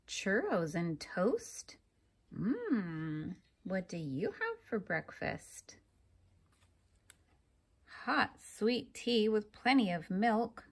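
A woman reads a picture book aloud to children in a teacherly style. Her delivery is very slow, with pauses between phrases, and her pitch swings expressively across a medium register. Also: creaky, husky. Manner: deliberate, pauses between phrases, asks listeners questions.

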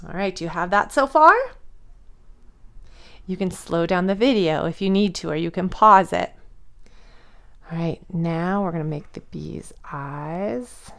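A woman preschool teacher reading and instructing young children in an expressive, child-directed way. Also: lowish voice, unhurried, creaky, pauses often. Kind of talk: children's read-aloud and drawing lesson.

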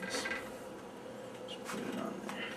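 A young man hosting a homemade cooking show in a small kitchen at night, rambling offhand with many fillers. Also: slow. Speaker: home cooking show host. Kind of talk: casual home cooking demo. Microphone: handheld camera mic, close. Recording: noisy home camera audio.

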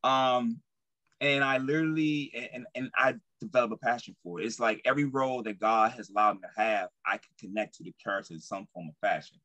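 A man's voice: medium pitch, a little fast, bright, forceful tone, no echo. A young man talking informally and earnestly as an interview guest on a video call, often repeating his phrases. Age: young adult. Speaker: stage actor and guest. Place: indoor room at home, on a video call.

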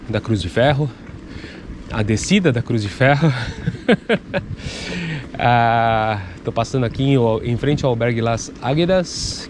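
A man's voice: mid-range voice, noisy background. A man with a stuffy, congested voice chatting casually as he cycles country roads, picked up by a camera mounted on his handlebars. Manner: chatty, loose and rambling, with restarts. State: congested from a cold. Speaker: cycling vlogger. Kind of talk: casual running commentary while cycling. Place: outdoors on a bicycle on country roads. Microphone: action camera on the handlebars.

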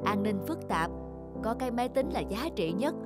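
A woman voicing a calm, caring middle-aged farmer father in a cartoon, measured and gently advising. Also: on the high side, over loud music, natural voice. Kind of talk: Vietnamese cartoon dubbing.

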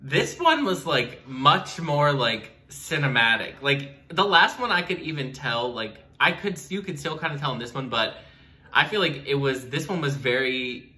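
A young man co-hosts a casual reaction chat. His voice is bright and sits at a middle pitch. He talks haltingly, padding his thoughts with many fillers. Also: expressive. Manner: halting, heavy use of "like". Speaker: reaction video co-host. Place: small room indoors.

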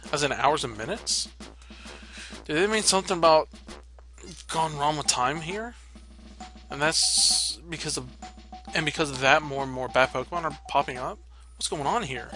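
A man with a fairly high, very bright voice does casual let's play commentary. His delivery swings widely in pitch as he reads game dialogue and adds irreverent, playful asides. Game music plays softly behind him. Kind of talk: casual gameplay commentary, reading dialogue aloud. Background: video game music.

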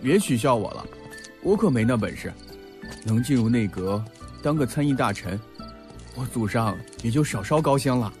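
Sensitive bookish young guy man acting in a radio drama, expressive, music playing softly behind him. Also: medium pitch, moderate pace, natural voice. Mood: modest, friendly. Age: young adult. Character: young Japanese male student, learned, earnest, sensitive, talkative. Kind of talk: Mandarin audio drama acting. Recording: background music under the voice.

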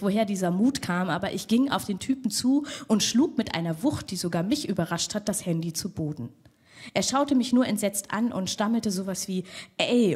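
Young woman with a cold, husky voice, wry spoken-word storyteller on a theater stage. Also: medium pitch, darkish tone. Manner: frank, wry, conversational. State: has a cold. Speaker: poet. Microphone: stand mic.

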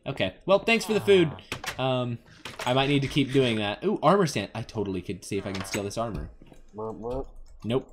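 Young male gaming host: playful, rambling Let's Play commentary with a lively, swinging pitch. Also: normal speed, pauses often, noisy background. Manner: playful, rambling, talks to game characters and himself. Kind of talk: casual Let's Play commentary on an adventure game.

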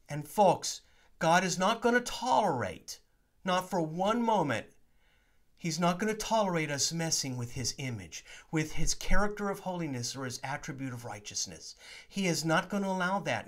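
A man's voice: high voice, a bit bright. A man in his forties, a priest giving an earnest, emphatic sermon-style teaching to a webcam in his home study. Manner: earnest, emphatic preaching with rising stresses.

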